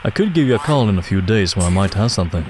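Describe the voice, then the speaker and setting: An actor playing a calm, helpful male audio technician in an audio drama, speaking in a measured, tentative, understated way. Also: low voice. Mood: calm, considered. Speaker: audio analyst character. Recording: loud noise under the voice.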